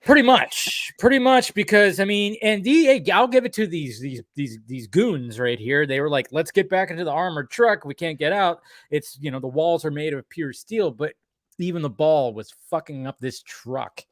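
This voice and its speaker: A man co-hosting a casual chat, animatedly recapping a cartoon episode with quick acted-out quotes and casual swearing. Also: on the high side, dry recording. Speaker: podcast co-host.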